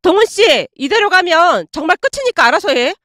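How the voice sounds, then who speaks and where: A woman's voice: very high voice, big pitch swings, a little fast, fairly bright tone, no room sound, clean studio sound, pitched above the performer's own voice, full character voice. A woman voicing an entitled, indignant young ex-girlfriend in a dramatized story, whining and demanding. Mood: angry.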